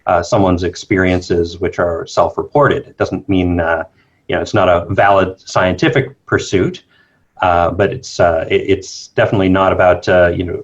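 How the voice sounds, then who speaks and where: A man's voice: low voice, muffled recording. A man from Canada, a scientist being interviewed remotely, answering thoughtfully with many fillers and restarts. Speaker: guest, circadian biologist. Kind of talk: casual interview talk.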